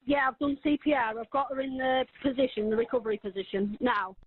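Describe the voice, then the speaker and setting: A woman making an emergency phone call, halting and hesitant with many fillers. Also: fairly high pitch, fairly even intonation. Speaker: emergency caller. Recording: tinny phone line.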